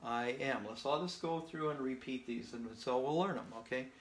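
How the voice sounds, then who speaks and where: Native English-speaking man, mid pitch, patient and folksy, casual teacher of beginner Spanish. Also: normal speed. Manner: patient, folksy, frequent "okay?" and "uh" fillers. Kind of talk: casual spoken language lesson.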